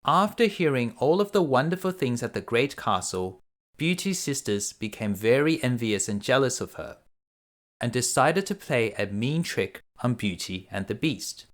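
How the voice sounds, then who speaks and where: A man reading a children's fairy tale aloud as narrator, in an expressive storytelling manner. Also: mid-range voice, moderate pace. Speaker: bedtime story reader.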